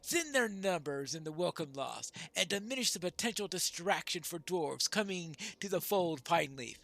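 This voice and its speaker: A man's voice: a little high, animated, normal speed, vocal fry, pushed and bright, full character voice. A man voicing a stern, commanding dwarf leader from a fantasy game, speaking formally and emphatically. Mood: urgent, commanding. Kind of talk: reading game quest dialogue aloud.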